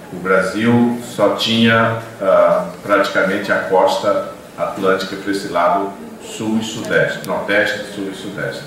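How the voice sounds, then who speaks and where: A man's voice: low voice, fairly bright tone, distant mic, a little hiss or hum. Older male professor lecturing in an echoey room. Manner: discursive, many hesitations and restarts. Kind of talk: university lecture. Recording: echoey classroom recorded from afar.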